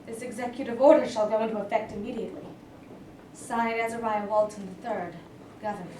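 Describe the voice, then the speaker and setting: A woman's voice: on the high side, steady tone, fairly quick, dark tone, slight background noise, close to an everyday voice. A woman playing a defiant civil rights activist, speaking in a bold, cutting manner. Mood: proclaiming. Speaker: actor in a staged reading. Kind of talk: stage play reading. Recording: roomy hall from a distant mic.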